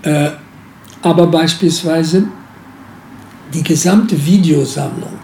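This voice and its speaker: Slow gravelly older man recalling. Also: fairly high pitch, a little mellow, roomy sound, slight background noise. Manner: hesitant, many filler sounds. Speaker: former museum director. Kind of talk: interview recollection.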